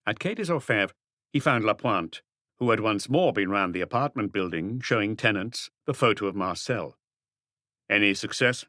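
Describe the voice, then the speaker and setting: A man's voice: lowish voice, bright, forceful tone, dry recording, clean studio sound. A man reading scripted literary narration as an audiobook narrator.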